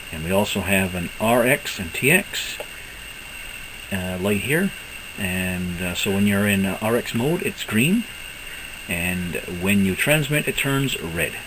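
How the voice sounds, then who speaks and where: A man with a fairly low voice talks through a piece of gear in a casual, unscripted way. His delivery is halting, as if thinking aloud. There is clear background noise in the recording. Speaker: hobbyist presenting radio equipment. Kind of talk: casual unscripted product walkthrough.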